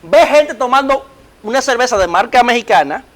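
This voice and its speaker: A middle-aged Dominican man with a Dominican Spanish accent, hosting a talk show in an animated, emphatic way and often cutting in. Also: very high voice, moderate pace. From Dominican Republic. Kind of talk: conversational interview.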